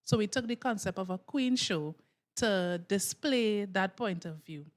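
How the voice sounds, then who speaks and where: A woman's voice: medium pitch, expressive, measured pace. A woman with a Trinidadian accent, a stage performer, explaining things in a casual interview.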